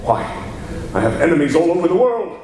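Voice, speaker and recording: A man's voice: fairly high pitch, very animated, a little fast, darkish tone. A man playing an anxious, evasive reporter with a secret identity, stammering in a 1940s radio serial style. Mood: troubled. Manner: stammering, agitated. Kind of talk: 1940s radio serial acting performed live. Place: large live convention hall. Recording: echoey hall, distant mic, faint noise.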